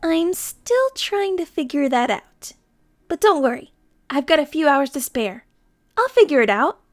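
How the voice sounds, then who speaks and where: A woman voicing an excitable, anxious young female alicorn pony in a gushing, rambling cartoon audio drama style, over music. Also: high-pitched, a little slow. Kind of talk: animated audio drama acting.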